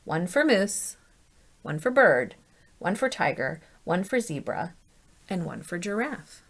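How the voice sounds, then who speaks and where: A woman reading a children's story aloud as a teacher, in an animated, deliberate way, putting questions to her listeners. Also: lowish voice.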